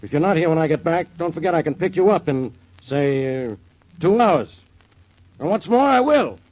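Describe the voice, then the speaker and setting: A man's voice: on the high side, expressive, normal speed, slight background noise, close to an everyday voice. An American man playing a stubborn, exasperated police official with a blustering, stern manner in 1940s radio drama. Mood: stern warning. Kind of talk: 1940s radio detective acting. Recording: dull 1940s radio broadcast recording.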